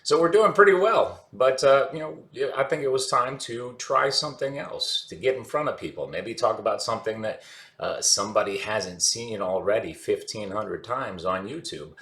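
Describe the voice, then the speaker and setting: A man's voice: fairly low pitch, expressive, moderate pace, fairly bright tone. A man in his forties hosting a casual vlog, talking in a rambling way with many fillers and restarts. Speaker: channel host. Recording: echoey room with faint music. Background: faint background music.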